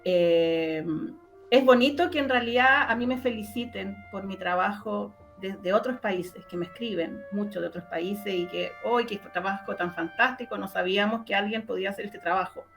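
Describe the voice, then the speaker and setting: Female interview guest, Chilean accent, conversational delivery, medium pitch, light music underneath. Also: moderate pace, vocal fry, a bit bright. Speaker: interview guest, sound artist. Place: online interview call.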